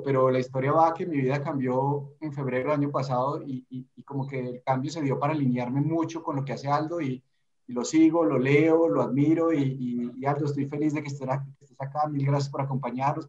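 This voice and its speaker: A man's voice: mid-range voice, a little mellow. A man hosting a live webinar over a video call, speaking with eager enthusiasm and stumbling repetitions. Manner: enthusiastic, admiring, repeats words. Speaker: host. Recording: dull lo-fi video-call audio.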